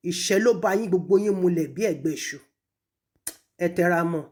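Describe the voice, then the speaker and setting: A woman with a Nigerian Yoruba accent, speaking accusingly and emphatically in an impassioned public rebuke, with pointed pauses. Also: fairly low pitch, darkish tone. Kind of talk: impassioned public rebuke, switching between languages.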